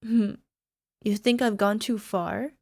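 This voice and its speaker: A woman playing a young, sweetly possessive yandere alchemist girlfriend in an ASMR roleplay, coaxing softly and sometimes slipping toward a whisper. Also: medium pitch, fairly even intonation, slow, no room sound, studio quality. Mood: smug, possessive. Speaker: voice actor. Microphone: close microphone, dry.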